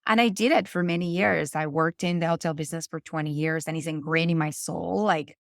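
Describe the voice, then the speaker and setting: A woman's voice: low-pitched, steady tone, fairly quick, creaky, no room sound, clean studio sound. A middle-aged woman from Venezuela with a Venezuelan Spanish accent, talking earnestly as a podcast guest and often restarting her phrases. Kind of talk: conversational interview.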